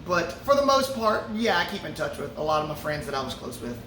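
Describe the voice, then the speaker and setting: A man's voice: a little high, very animated, fairly quick, sharp tone. Laid-back man in an echoey brewery. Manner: laid-back, joking, many fillers. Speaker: musician answering fan questions. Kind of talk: casual Q&A chat. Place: brewery before a show. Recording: roomy echo, from a distance, ambient noise.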